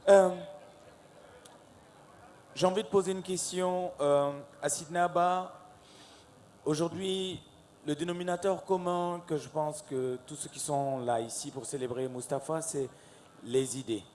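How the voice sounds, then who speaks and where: A man hosting a televised talk panel. He draws his questions out and gets lively when he announces what comes next. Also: on the high side, measured pace, pauses often. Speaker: talk-show host. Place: television studio set.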